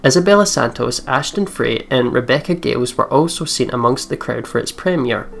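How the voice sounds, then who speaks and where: A woman's voice: a bass voice, expressive, a little fast, gravelly. A young woman reading game text aloud with casual let's play commentary. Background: soft game music.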